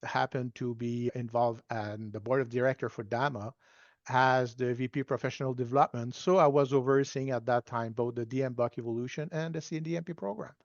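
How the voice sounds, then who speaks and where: A man in his sixties with a French Canadian accent, a native French speaker, giving measured, self-deprecating answers as an interview guest. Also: mid-range voice, fairly even intonation, no room sound, lo-fi sound. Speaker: guest data management consultant. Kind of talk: relaxed explanatory interview answers.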